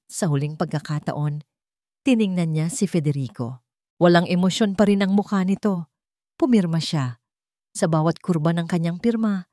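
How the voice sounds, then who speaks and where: A woman's voice: lowish voice, dark tone, no echo, studio quality. A woman narrating a scripted romance story in a dramatic storytelling style.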